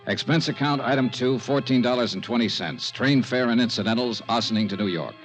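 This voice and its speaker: A man's voice: mid-range voice, fairly quick, music in the background, close to an everyday voice. An actor playing a cool, skeptical male insurance investigator in a 1950s radio drama, clipped and matter-of-fact. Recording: muffled old radio broadcast.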